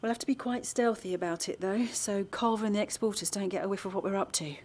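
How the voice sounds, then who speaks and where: A woman playing a determined, organised small-town campaigner in an audio drama, with an articulate, measured delivery. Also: medium pitch, a little mellow, lightly acted. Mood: keen, plotting. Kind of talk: naturalistic audio drama, dialogue and narration.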